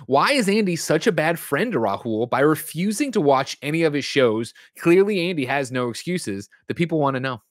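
A man's voice: fairly high pitch, big pitch swings, moderate pace, hardly any pauses, dry recording, clean studio sound. A man hosting a casual podcast over a video call from a room at home, talking in a wry, emphatic way. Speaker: podcast host.

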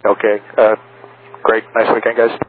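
A man's voice: lowish voice, monotone, normal speed, narrow, tinny audio. A man speaking as a pilot, giving brief replies to air traffic control over aviation radio. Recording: thin aviation radio with faint noise.

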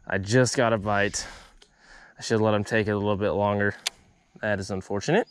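A man's voice: fairly low pitch, steady tone, a little fast, slight background noise. Upbeat young man fishing from a boat. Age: young adult. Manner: upbeat, chatty, talks to viewers while fishing. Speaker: fishing vlogger. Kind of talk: casual vlog commentary. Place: outdoors on a small boat on a pond. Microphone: action camera on the boat.

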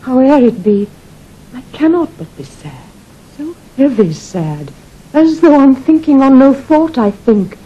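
A husky, sorrowful queen. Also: high voice, sing-song, unhurried, dark tone, highs cut off, full character voice. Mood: sorrowful, foreboding. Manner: solemn, declamatory verse speaking. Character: grieving, foreboding queen, regal and melancholy. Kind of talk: classical Shakespearean radio acting.

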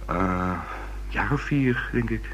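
A man's voice: lowish voice, lively intonation, slow pace, a bit bright. An actor playing a hesitant, rambling young man who is a murder witness in a Dutch radio play. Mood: gossipy, reluctant. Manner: hesitant, rambling, restarts words. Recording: noisy old radio recording with hum.

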